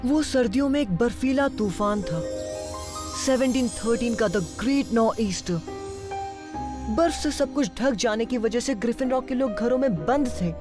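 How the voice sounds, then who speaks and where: A woman's voice: a little high, lively intonation, unhurried. A woman voicing a friendly young townswoman who explains things like a storyteller in a cartoon dub. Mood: explaining. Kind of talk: animated series dub. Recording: clear music behind the voice. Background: music.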